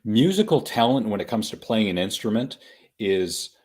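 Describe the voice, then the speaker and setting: A man co-hosting a casual live-streamed podcast, talking in a measured, explanatory way with frequent hesitations. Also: on the low side, very animated, a little mellow. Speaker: podcast co-host.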